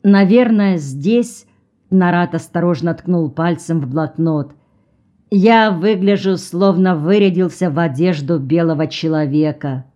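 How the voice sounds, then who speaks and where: A woman reading a literary novel aloud as an audiobook, calm and even, lifting her voice a little for the characters' speech. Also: lowish voice, a little slow, highs cut off. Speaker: audiobook reader.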